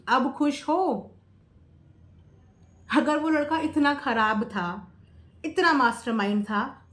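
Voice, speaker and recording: A woman giving opinionated, casual commentary to viewers, emphatic, repeating phrases and asking rhetorical questions. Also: sits high. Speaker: reaction video creator. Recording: echoey, roomy sound.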